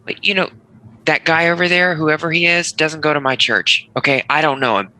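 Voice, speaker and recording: A man's voice: on the high side, fairly even intonation, fairly quick, bright, forceful tone, low-quality recording. A man acting a self-assured, defensive church preacher in a dramatic table read.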